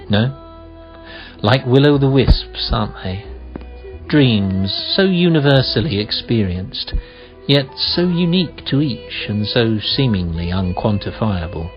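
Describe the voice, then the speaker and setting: A man narrating a radio play as a deliberate, musing storyteller, with rhetorical pauses and questions. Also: on the low side. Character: measured, musing male storyteller of a mystery tale. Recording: slightly dull audio with faint music.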